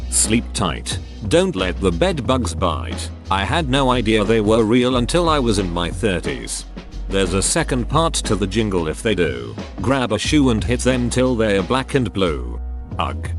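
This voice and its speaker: A man narrating online forum posts as a scripted reading, delivering one first-person post after another in a steady read-aloud manner. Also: fairly low pitch. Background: faint background music.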